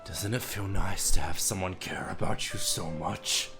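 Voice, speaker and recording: A hoarse man voicing an obsessive, lovesick shy young woman in a hushed, intense manner. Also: low-pitched, a little slow, faint music behind, pitched below the performer's own voice, exaggerated voice. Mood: feverish. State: hoarse, losing his voice.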